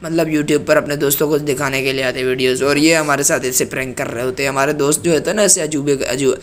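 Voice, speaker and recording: Hoarse young man, cold, game commentary voice-over, chatty and joking, slightly high voice, faint hiss. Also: fairly even intonation, quick pace. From Pakistan. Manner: chatty, joking, playfully indignant. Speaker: gaming channel host.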